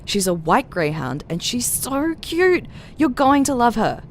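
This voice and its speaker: Cheerful dog lover, highish voice, animated, playful, audio drama voicemail, clear ambient noise behind. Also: female voice, a little slow. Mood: excited, playful. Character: cheerful dog-loving friend leaving a voicemail.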